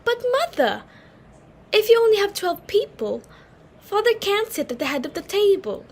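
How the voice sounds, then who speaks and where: A woman's voice: extremely high pitch, very animated, moderate pace, a little mellow, exaggerated voice. A woman playing a timid, reluctant young society daughter in a play reading, with a plaintive, dutiful manner. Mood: anxious.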